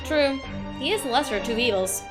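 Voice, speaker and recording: A woman's voice: sits high, expressive, a little fast, over music. A woman playing a curt young woman. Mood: annoyed.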